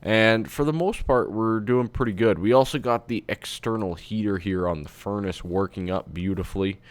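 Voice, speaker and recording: A congested, stuffed-up man talks through his game in a relaxed, chatty way, with plenty of ums as he thinks aloud. His voice sits low. The sound is close and dry, with no room around it. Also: normal speed, dry recording. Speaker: let's-play host. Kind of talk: casual gameplay commentary.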